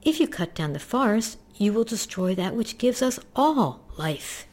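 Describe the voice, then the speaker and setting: A woman's voice: mid-range voice, very animated, unhurried, a little mellow, pitched above the performer's own voice. A woman voicing an earnest little porcupine in a storybook, asking emphatic questions like a small instructor. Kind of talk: storybook character voice.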